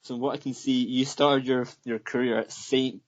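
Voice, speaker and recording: A man's voice: medium pitch, flat delivery, normal speed, dull audio. A man from Scotland interviewing a guest in a casual way, often stumbling and repeating words.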